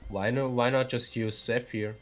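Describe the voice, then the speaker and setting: A man's voice: medium pitch, little pitch movement, moderate pace, faint music behind. Male co-host, chatty and inquisitive, teasing tone, mid-range voice. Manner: curious, teasing, asks lots of questions. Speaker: podcast co-host. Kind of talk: casual gaming chat.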